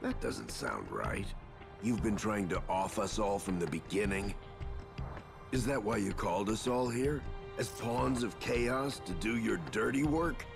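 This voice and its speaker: Gravelly, gruff, brash warrior. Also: male voice, on the low side, expressive, measured pace. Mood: indignant. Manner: gruff, blunt, colloquial. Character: gruff, brash middle-aged warrior father, blunt and stubborn. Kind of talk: dramatic video game voice acting. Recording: loud music behind the voice.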